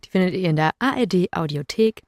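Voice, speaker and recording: A young woman hosting a casual podcast chat, chatty and relaxed, mixing English words into her speech. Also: on the low side, quick pace, no echo, clean studio sound. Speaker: podcast host. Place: studio.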